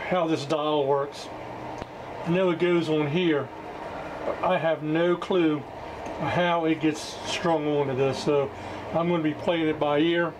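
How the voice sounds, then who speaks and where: A man with a slightly high-pitched voice talks his way through a repair in a casual, unscripted way. His delivery is halting, plainspoken and folksy. The homemade recording carries some noise behind him. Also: fairly even intonation. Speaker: hobbyist radio restorer hosting a repair video. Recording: clearly noisy amateur recording.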